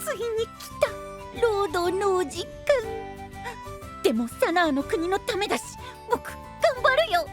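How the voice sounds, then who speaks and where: A man's voice: very high-pitched, expressive, unhurried, music in the background. A man voicing a childlike, cute and whiny but willing small boy in an anime audio drama. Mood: reluctant but determined.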